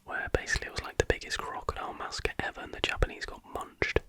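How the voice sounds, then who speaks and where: A British ASMR host whispering casual, chatty reaction commentary in a hushed, informal, rambling way. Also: fast talker.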